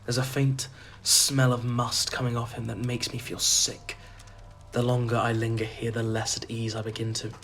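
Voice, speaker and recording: A man's voice: mid-range voice, completely flat delivery, moderate pace, a bit bright, faint music behind, natural voice. An actor playing an uneasy, hesitant man narrating a gothic horror audio drama in the first person. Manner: hesitant, faltering, apprehensive. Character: uneasy male narrator drawn into a sinister festival.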